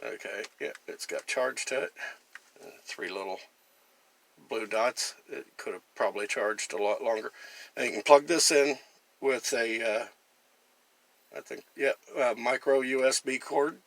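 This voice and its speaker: A man's voice: mid-range voice, measured pace, vocal fry, long pauses, fairly bright tone. A man talking casually through a product demonstration in a plain-spoken, folksy and chatty way. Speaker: gear reviewer and camper.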